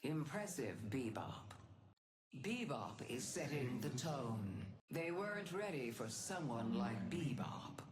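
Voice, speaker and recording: A woman's voice: extremely low pitch, very animated, roomy sound, slight background noise, heavily acted. A woman playing a solemn, stately godlike matriarch who praises her warriors in a video game. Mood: approving. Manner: grave, deliberate, stately. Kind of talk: video game character voice lines.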